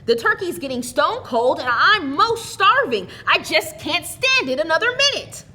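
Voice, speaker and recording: A woman's voice: extremely high pitch, big pitch swings, normal speed, sharp tone, pitched above the performer's own voice, full character voice. A woman voicing a hungry young boy with a wounded, impatient temper in a storybook tale. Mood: whining, impatient. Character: hungry, injured-sounding young boy at Christmas dinner. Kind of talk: dramatic storybook reading.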